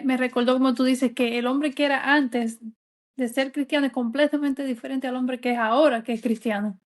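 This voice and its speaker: A woman co-hosting a casual podcast, speaking to listeners in a homey way. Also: high-pitched. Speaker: podcast co-host.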